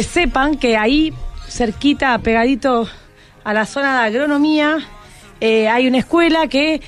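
A woman with a Buenos Aires accent hosting a radio show, interviewing casually and encouraging people to speak. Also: high voice. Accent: Argentine, Buenos Aires. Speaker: radio host. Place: radio studio. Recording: music playing behind the voice.

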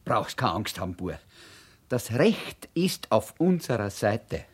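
A husky old Viennese innkeeper in a radio play. He speaks slowly, with wide swings in pitch, as a fond and grumbling father. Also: sing-song, exaggerated voice. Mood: reassuring. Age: older.

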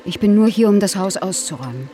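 A woman's voice: medium pitch, lively intonation, fast talker, mellow, faint music behind, natural voice. A woman playing a guilt-ridden older sister in a radio drama, frightened, defensive and pleading. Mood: guarded, guilty.

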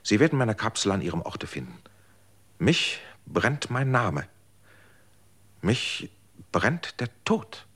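A man's voice: lowish voice, very animated, slow pace, long pauses, fairly bright tone, breathy edge, full character voice. An actor playing an earnest man who asks probing questions and lectures in a radio drama.

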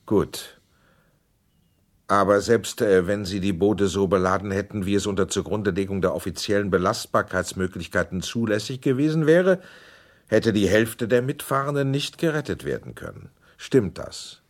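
A man's voice: lowish voice, expressive, normal speed, lightly acted. An actor playing a persistent male senator who formally questions witnesses in a German documentary radio drama. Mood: insistent, pressing. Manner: probing, insistent, formal. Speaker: investigating questioner.

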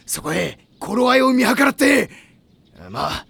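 A man's voice: very high-pitched, sing-song, a little slow, creaky, a bit bright, husky, no echo, heavily acted. A man playing a hot-blooded, melodramatic teenage volleyball boy in an anime audio drama, prone to bombastic outbursts. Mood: eager, persuasive.

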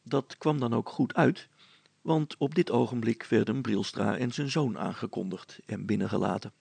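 A man's voice: medium pitch, moderate pace, vocal fry, darkish tone, slightly rough, no echo. A man reading a scripted story aloud as a storybook narrator.

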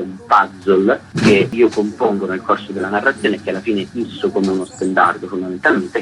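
A man's voice: sits low, bright, forceful tone, a little hiss or hum, low-quality recording. A man being interviewed on radio as a theatre author, explaining his work in an articulate way.

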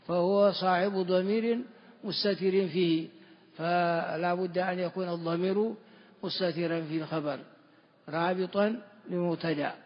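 A man from Ethiopia teaching classical grammar, explaining slowly and restating each term before confirming it. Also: high voice. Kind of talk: classical Arabic grammar lesson. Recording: dull lo-fi recording with long hall reverb.

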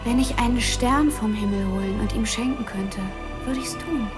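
A woman acts a lovestruck girl outlaw in a radio play. Her voice is high and childlike, naive yet dangerously intense, with faint music behind. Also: normal speed, lightly acted. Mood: dreamy devotion. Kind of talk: radio drama acting.

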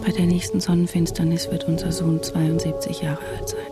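Actress doing brooding wife in her 30s, lowish voice, hushed slow radio drama monologue, music behind it. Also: monotone, close to an everyday voice. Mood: bleak, hushed. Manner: hushed, deliberate, short sentences, sometimes near whisper. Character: brooding wife of a vanished tycoon, 37, mother. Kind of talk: literary radio drama monologue, first person.

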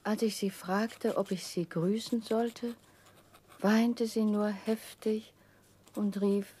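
Breathy, very slow sentimental young lady. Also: medium pitch, fairly even intonation, warm tone. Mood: mournful, tender. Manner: slow, mournful, drawn-out. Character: solemn, sentimental young lady reading out her letter. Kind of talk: 1960s German radio drama acting.

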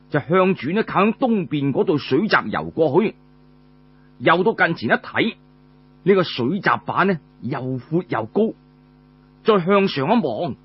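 Animated husky male Cantonese storyteller. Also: on the high side, muffled recording. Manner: colloquial, frequent drawn-out filler particles between phrases. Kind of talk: traditional Cantonese oral storytelling, audiobook narration.

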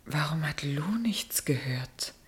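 An anxious middle-aged wife and mother in a radio drama. Her voice is very low for a woman, with a fretful, pleading manner. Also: animated, slow. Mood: fearful, uneasy. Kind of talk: German radio drama acting.

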